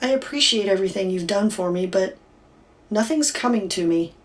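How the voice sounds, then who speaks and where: An actress playing a discontented, sardonic housewife and musician in an audio drama, with a wry delivery. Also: female voice, medium pitch, lively intonation, normal speed, natural voice. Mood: hurt, exasperated.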